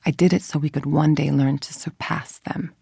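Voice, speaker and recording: A calculating, middle-aged female villain scientist from a video game. Her voice is low and gravelly, recorded very dry and close. She speaks with clipped authority and cool, controlled menace. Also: steady tone, moderate pace, dark tone, no room sound, full character voice. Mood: cold, measured.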